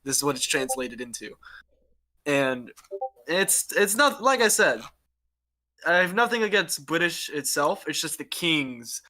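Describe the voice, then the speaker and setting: A man's voice: a little high, sing-song, unhurried, bright, forceful tone. A man hosting a casual podcast chat, rambling and laughing often between topics. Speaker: podcast host.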